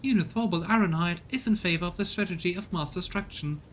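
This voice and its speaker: A man's voice: fast, a bit bright. A man voicing a cold cyborg tech-priest in a video game, with formal, clinical, mechanical phrasing. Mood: clinical, stern. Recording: rumbly background noise.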